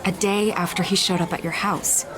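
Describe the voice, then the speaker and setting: A woman's voice: fairly low pitch, fairly even intonation, normal speed, lightly acted, rough recording. A woman voicing a probing newspaper reporter in a video game, insistent and coaxing. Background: music.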